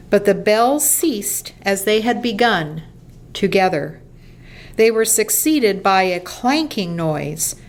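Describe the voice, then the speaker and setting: A woman narrating a radio play from a script in a measured storytelling manner. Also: medium pitch. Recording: faint background noise.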